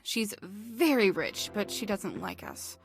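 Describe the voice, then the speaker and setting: A woman's voice: mid-range voice, huge pitch swings, takes it slowly, creaky, soft background music, full character voice. An actress playing a stern, weary, sensible young woman in a visual novel, with a dry, resigned manner. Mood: weary, practical.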